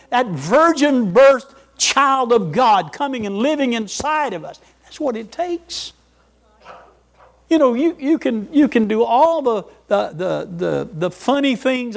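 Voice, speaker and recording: An older man preaching a folksy sermon, repeating words and chuckling as he goes. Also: extremely high pitch.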